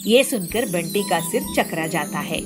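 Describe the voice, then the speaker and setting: A woman narrating a children's cartoon story in an expressive, lilting way. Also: lowish voice, normal speed, soft background music.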